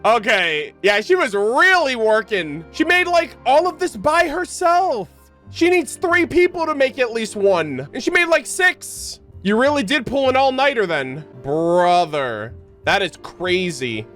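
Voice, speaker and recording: A man giving casual, expressive reaction commentary as a game streamer, slangy and prone to swearing. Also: very high voice, unhurried, faint music behind.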